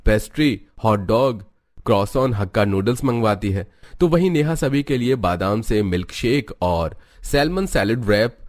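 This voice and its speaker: A man's voice: fairly low pitch, a little mellow. A man narrating a scripted story as a storyteller. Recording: music under the narration.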